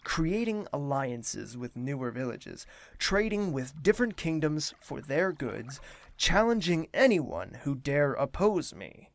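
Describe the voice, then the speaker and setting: Gravelly, weary young prince. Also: male voice, fairly high pitch, big pitch swings, takes it slowly, heavily acted. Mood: grand, mocking. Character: weary, burdened young prince hosting a royal ball. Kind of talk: audio drama monologue.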